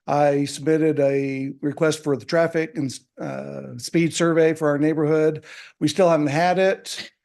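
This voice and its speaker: A man giving a public comment at a council podium, halting with frequent fillers. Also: fairly high pitch, steady tone, creaky, darkish tone. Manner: hesitant, many fillers. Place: city council chamber.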